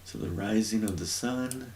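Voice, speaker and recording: A man giving an informal, rambling Bible teaching, thinking aloud and often pausing to search for words. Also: on the low side, darkish tone, slight background noise.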